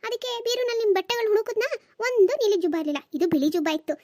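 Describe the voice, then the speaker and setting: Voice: very high voice, lively intonation, rapid-fire, darkish tone. A performer voicing a scatterbrained, superstitious housewife and mother who nags and exclaims in a comedy cartoon. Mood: defensive. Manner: exclaiming, nagging.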